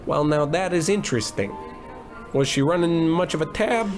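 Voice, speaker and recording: A man playing a bumbling, self-important private detective in a comic 1940s-style radio noir, blustering and deadpan. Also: a little high, moderate pace, over music, natural voice. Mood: inquisitive.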